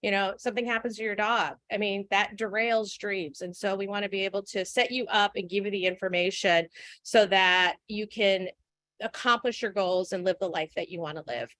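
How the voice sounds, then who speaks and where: A woman's voice: medium pitch, steady tone, moderate pace, fairly bright tone, no room sound. A woman in her forties giving an earnest, frank webinar talk, with many fillers. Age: 40s. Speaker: guest speaker. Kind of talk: webinar presentation.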